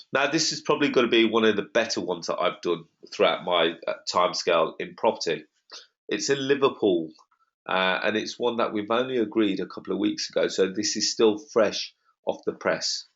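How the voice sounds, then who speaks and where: A slightly croaky man pitches deals to a webinar audience with confident, salesy emphasis. His voice sits fairly low. The call audio is dull and lo-fi. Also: normal speed, fairly bright tone. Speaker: webinar host. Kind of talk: online property webinar talk.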